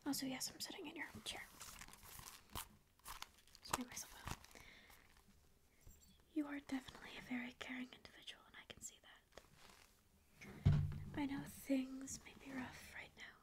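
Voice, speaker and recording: A woman voicing a centuries-old sleep paralysis demon in a whispered ASMR roleplay, snarky and angsty at first, then softly reassuring, close to the mic. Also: sits high, steady tone, normal speed, long pauses, lightly acted. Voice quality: breathy whisper. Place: dim indoor room with string lights. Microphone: close studio mic on camera.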